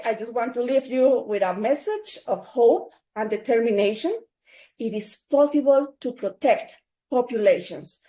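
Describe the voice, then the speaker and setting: A woman's voice: fairly high pitch, warm tone. A woman ending a webinar presentation over a video call, earnest and hopeful. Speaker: webinar presenter from an advocacy organization. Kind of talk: closing a prepared presentation.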